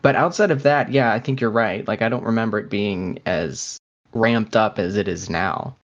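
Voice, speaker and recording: A man co-hosting a podcast in casual, slightly halting conversation, recorded remotely from home. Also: on the low side, muffled recording. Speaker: podcast co-host.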